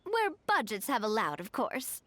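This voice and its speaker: A woman's voice: fairly high pitch, wildly swooping pitch, normal speed, a bit bright, full character voice. A woman voicing a poised, cheerful young noblewoman in a video game, explaining things in a polished, articulate way. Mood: cheerful, proud. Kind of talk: video game story dialogue acting. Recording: studio voice over game music.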